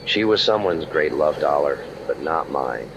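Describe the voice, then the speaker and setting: A man acting a hardboiled waterfront detective with a very deep, low voice. He is cynical and deadpan, tossing out dry wisecracks in old-time radio noir style. The sound is muffled, with clear background noise. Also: unhurried, full character voice. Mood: dry, sardonic. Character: cynical, world-weary hardboiled male waterfront private eye.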